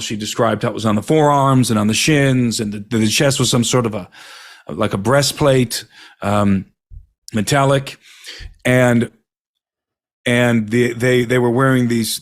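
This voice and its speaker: Guy telling what a witness saw, super detailed, keeps restarting words. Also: medium pitch. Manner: earnest, detailed, halting repeats. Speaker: investigator. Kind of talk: recounting an interview.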